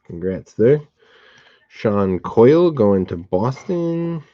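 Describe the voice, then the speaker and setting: A slightly hoarse man hosting a card box break, calmly listing each card and who it goes to. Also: mid-range voice, no room sound, lo-fi sound. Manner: steady, unhurried listing of cards and recipients. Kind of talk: relaxed live box-break commentary.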